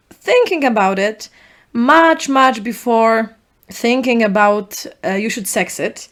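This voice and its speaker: A young woman with a Polish accent, a native Polish speaker, hosting a solo podcast in an earnest, reflective way, speaking in short halting phrases. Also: a little high. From Poland. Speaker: podcast host.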